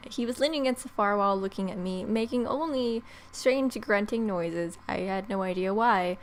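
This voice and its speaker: A woman's voice: medium pitch, moderate pace, slight background noise. Wry young woman narrating a game. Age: young adult. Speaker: let's play host. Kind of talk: reading a visual novel aloud with joking asides.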